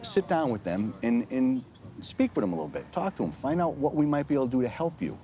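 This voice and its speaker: A man's voice: mid-range voice, sing-song, fast, dark tone, a little hiss or hum. A man working as a school attendance officer, explaining things in an interview.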